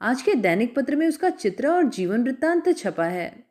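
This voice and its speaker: A proud old storybook father answers with sharp, mocking edges, voiced by a woman narrator. The voice stays mellow and fairly high, swinging widely in pitch as he scoffs. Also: big pitch swings, normal speed.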